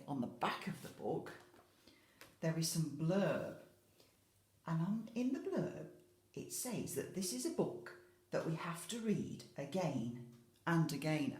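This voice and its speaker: A woman with a slightly low voice tells stories like an infant school teacher. She goes slowly with a lively lilt, addressing children directly and explaining things simply. The room adds a noticeable echo. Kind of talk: storytelling to young children. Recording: roomy, echoey sound.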